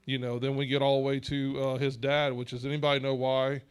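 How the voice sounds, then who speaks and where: A man leading a church Bible discussion in an earnest, measured way, asking rhetorical questions. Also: medium pitch, level tone, clear, resonant tone.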